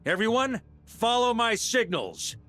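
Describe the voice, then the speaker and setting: A man's voice: very high-pitched, big pitch swings, measured pace, sharp tone, faint music behind, full character voice. A man voicing a rugged, hearty knight grandmaster in a video game, a bold, commanding leader with a wry streak. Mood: urgent, rallying.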